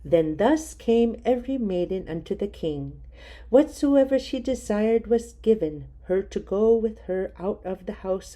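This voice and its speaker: A woman reading scripture aloud in a measured, careful way, sometimes restarting on hard names. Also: medium pitch, warm tone. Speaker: Bible reader.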